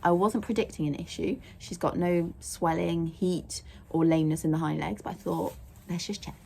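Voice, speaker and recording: A young woman with a croaky voice, chatting casually in a rambling way as she vlogs about her horse. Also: on the low side, fairly even intonation, moderate pace, dark tone. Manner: chatty and rambling, laughs often. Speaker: vlogger, horse owner. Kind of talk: casual vlog chat. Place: outdoors at a stable yard, then in a car.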